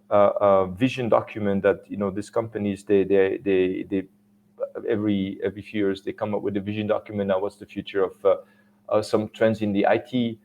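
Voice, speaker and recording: A middle-aged man with a Swiss French accent gives a technical talk at a conference. His low voice has a gravelly edge. He speaks haltingly and often restarts with fillers. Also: a little mellow. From Switzerland.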